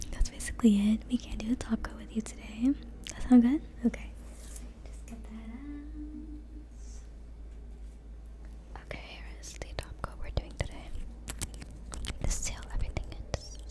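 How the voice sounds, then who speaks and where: A woman's voice: on the high side, steady tone, close to an everyday voice. Woman doing a whispering nail salon technician. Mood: gentle. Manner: soft whisper, repeats words slowly. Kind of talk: ASMR whispered roleplay. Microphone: close mic.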